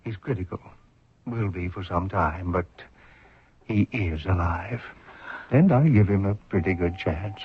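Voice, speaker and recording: An actor playing a determined, businesslike hospital doctor with clipped, grave, steady speech in an old-time radio drama. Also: male voice, sits low, unhurried, long pauses, a little hiss or hum. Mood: grave, gentle. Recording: muffled radio drama recording.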